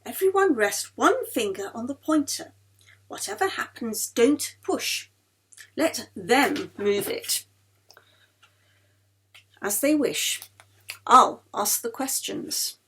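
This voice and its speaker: A woman voicing a coaxing, gently scolding society wife of the 1930s in a storybook, with a wheedling hostess manner. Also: fairly high pitch, expressive, slow, pauses often, breathy edge, heavily acted. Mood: solemn, instructing.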